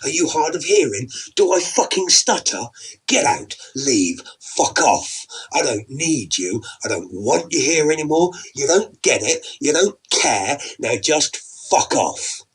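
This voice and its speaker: A man voicing a volatile gamer in his forties who rants and shouts in a spoken story. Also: fairly high pitch, big pitch swings, measured pace, breathy edge, voice pushed up, full character voice. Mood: yelling. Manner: ranting, swearing, shouting.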